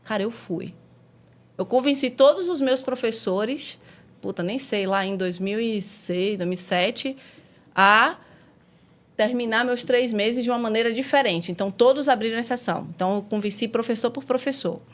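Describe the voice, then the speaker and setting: A woman's voice: on the high side, normal speed. Frank Bahian woman telling her story. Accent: Bahian Portuguese. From Salvador, Brazil. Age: 40s. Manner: lively, frank, uses casual slang and mild swearing. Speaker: guest. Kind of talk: casual conversational storytelling.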